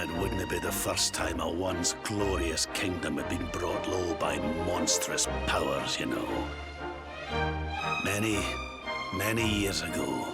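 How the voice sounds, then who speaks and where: An actor playing a doughty, fatherly old mentor in a video game, with a gravelly voice and weighty, folksy delivery. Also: sits low, full character voice. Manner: dramatic, weighty storytelling with folksy turns. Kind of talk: video game cutscene acting.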